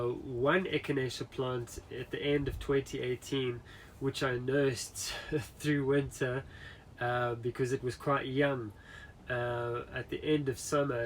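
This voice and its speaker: A man with a nasal voice giving a relaxed, rambling tour of his garden and polytunnel, with lots of ums, recorded on a camera mic outside. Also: mid-range voice, low-quality recording. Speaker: gardener vlogger. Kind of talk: casual garden tour walkthrough. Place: outdoor garden, then a polytunnel. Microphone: camera mic catching wind outdoors. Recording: wind noise on the mic outdoors.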